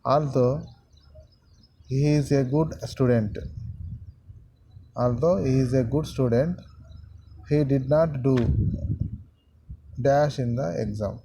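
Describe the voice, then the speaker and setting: A man teaching a grammar lesson to students, repeating sentences and pausing often with fillers. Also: medium pitch, dark tone, slight background noise, low-quality recording. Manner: slow, repetitive, halting with many fillers.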